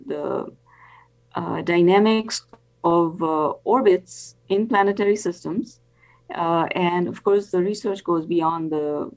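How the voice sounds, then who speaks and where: An older woman speaks in a fairly low voice as an expert interview guest on a video call. She explains carefully and haltingly, pausing often as she builds each answer. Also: a little mellow, rough recording. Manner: halting, careful, frequent pauses. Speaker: interview guest, professor and planetary scientist.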